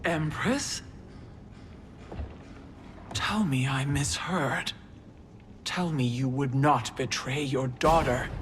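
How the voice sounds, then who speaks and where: A woman's voice: very deep voice, slow pace, pauses often, noisy background. A woman playing a loyal, honorable warrior in a video game cutscene, speaking in a solemn, earnest and disapproving way. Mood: dismayed.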